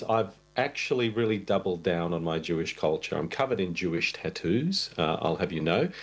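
A middle-aged man who grew up in Russia, an actor and producer chatting candidly as an interview guest, with wry asides. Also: deep voice. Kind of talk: conversational interview.

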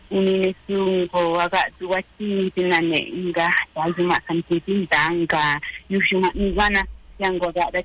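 A woman's voice: lowish voice, fairly bright tone, rough recording. A woman from Oaxaca, a native Triqui speaker, giving greetings as a guest on live radio. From San Andrés Chicahuaxtla, Oaxaca, Mexico.

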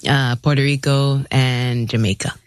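A woman from the Philippines, a guest in a radio interview, talking in a quick, chatty way and laughing easily. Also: extremely low pitch, steady tone, clean tone, no echo, clean studio sound. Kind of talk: conversational interview.

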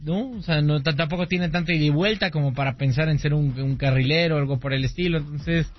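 A male sports panelist with a fairly high voice. He hedges a lot and leans on fillers as he talks. The broadcast sound is dull and lo-fi. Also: fast talker. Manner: hesitant, filler-heavy chat. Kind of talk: sports talk radio.

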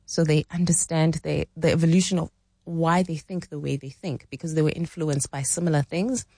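A woman's voice: sits low, steady tone, brisk, dark tone, dry recording. A woman in her forties from South Africa, explaining relationship ideas in conversational talk, thinking aloud with frequent restarts. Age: 40s. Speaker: relationship expert guest.

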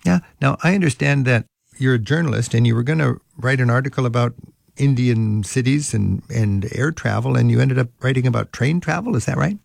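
An older man hosting a travel interview, warmly reminiscing and thinking aloud with small restarts. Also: medium pitch, brisk, vocal fry, no echo, studio quality. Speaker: travel radio show host. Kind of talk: conversational interview.

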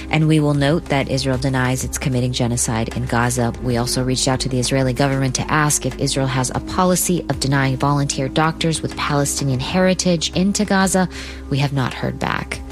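A woman with an American accent hosting a broadcast news program, interviewing and narrating. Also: extremely low pitch. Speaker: radio host and interviewer.

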